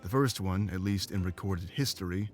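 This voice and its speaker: A man's voice: deep voice, normal speed, faint music behind, close to an everyday voice. A man playing a guarded but loyal adventurer in a visual novel, talking earnestly and plainly. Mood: reluctant, matter-of-fact. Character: guarded, loyal male adventurer, plain-spoken travel companion. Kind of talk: scripted visual novel dialogue.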